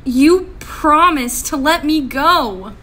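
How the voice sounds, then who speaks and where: A woman's voice: sits high, lively intonation, takes it slowly, sharp tone, exaggerated voice. A woman playing a despairing, guarded young woman in a comic book dub, sardonic and incredulous. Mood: guarded, reluctant. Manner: exasperated, incredulous.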